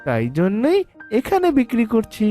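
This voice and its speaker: A woman's voice: on the high side, huge pitch swings, moderate pace, dark tone. Cartoon role: clever young child vendor voiced by a woman, wheedling and persuasive, faint music underneath. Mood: coaxing, salesy. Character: clever small child mango seller, wheedling salesman. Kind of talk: cartoon moral story dialogue.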